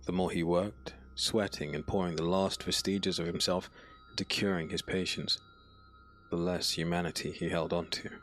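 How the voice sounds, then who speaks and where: A man narrating a dark fantasy audiobook in a solemn, dramatic, scripted style. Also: deep voice. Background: background music.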